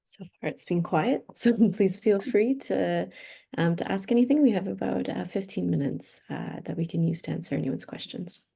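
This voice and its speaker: A woman researcher answering audience questions in a webinar, explaining in a measured way. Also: fairly low pitch, creaky, dark tone, breathy edge. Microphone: video call.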